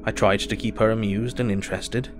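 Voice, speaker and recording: Man as low-voiced, earnest Victorian doctor. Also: fairly even intonation, over music, natural voice. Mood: weary reflective. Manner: measured, reflective, formal. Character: weary, earnest Victorian doctor keeping a diary. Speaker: actor. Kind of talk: dramatized diary narration.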